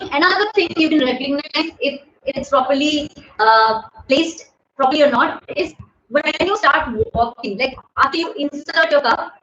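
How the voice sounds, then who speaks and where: Indian English woman, 30s, high and loud, casual livestream host; echoey bedroom, dull phone audio. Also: slight background noise. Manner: chatty, laughs often, thanks viewers by name. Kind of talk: casual live Q&A. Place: bedroom at home. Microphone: phone camera at desk distance.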